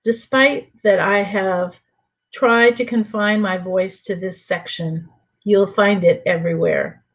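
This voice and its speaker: An older woman from New Orleans reads a passage from her own book. Her delivery is measured and deliberate, with a mid-range pitch. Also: fairly even intonation. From New Orleans, USA. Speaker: author reading her book. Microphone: home computer mic for an online reading.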